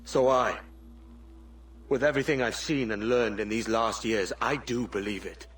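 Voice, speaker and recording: An actor playing a world-weary, earnest pirate captain in a cinematic video game. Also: male voice, mid-range voice, normal speed, fairly bright tone, slightly rough. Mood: resolute.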